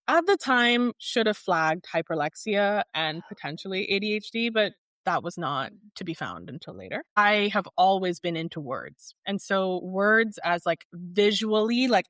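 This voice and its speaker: Woman from barbados, 30s, podcast guest, lowish voice, says like a lot, dry close mic. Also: fairly bright tone, no room sound. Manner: articulate, thoughtful, heavy use of "like". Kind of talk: reflective conversational interview.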